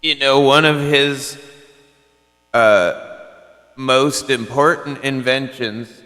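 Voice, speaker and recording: A man's voice: medium pitch, very slow, sharp tone, big-room sound, a little hiss or hum. A man chatting casually on a CB radio channel, rambling and filling his talk with "like" and "you know". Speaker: CB radio caller.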